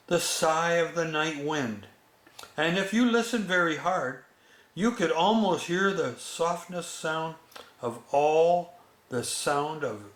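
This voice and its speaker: A man with a slightly high voice reads a bedtime story aloud. He goes very slowly, with expressive pauses and a chatty manner toward children. The room is noticeably echoey.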